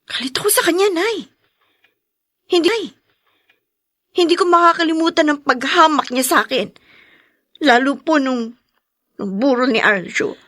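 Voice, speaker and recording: An actress playing a proud, embittered young wife in an emotional, confrontational radio drama style. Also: female voice, high-pitched, expressive, normal speed, pauses often, a bit bright, no room sound, studio quality. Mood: bitter.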